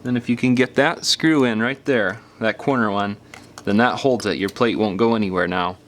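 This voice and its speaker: A man's voice: lowish voice, normal speed, a bit bright, slight background noise. A man giving casual, step-by-step hands-on instructions as a repair tutorial presenter, patiently explaining in an informal, folksy way.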